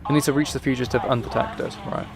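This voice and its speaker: A man's voice: mid-range voice, steady tone, quick pace, gravelly, faint music behind. A man chatting casually as he streams a video game, with dry, wry asides. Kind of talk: casual livestream gaming chat and commentary.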